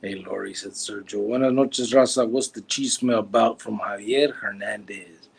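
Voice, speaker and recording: Forties man, gravelly husky voice, teasing livestream host reading chat. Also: lowish voice, normal speed. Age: 40s. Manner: joking, teasing, reads comments aloud.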